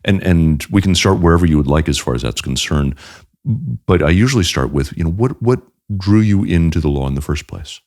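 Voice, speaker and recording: Very deep-voiced male podcast host. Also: lively intonation, darkish tone, no room sound, studio quality. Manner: measured, asks questions. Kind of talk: interview podcast.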